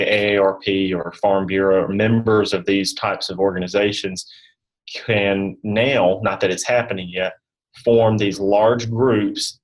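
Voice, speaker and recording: Man, fairly low pitch, insurance expert guest, rambling, conversational, halting delivery. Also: rough recording. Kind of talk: informal interview answers.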